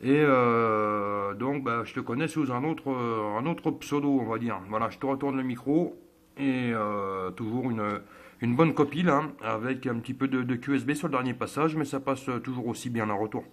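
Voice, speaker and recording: Male amateur radio operator, medium pitch, casual two-way chat, halting delivery with repeated phrases.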